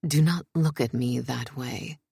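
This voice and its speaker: An actor voicing a commanding, controlled older royal man in audiobook dialogue, measured and authoritative. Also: mid-range voice, gravelly, mellow, breathy edge, dry recording. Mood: stern.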